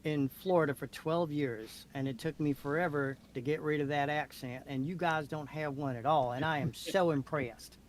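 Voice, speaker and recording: Male co-host, fairly high voice, conversational and informal. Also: moderate pace, hardly any pauses. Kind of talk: casual chat.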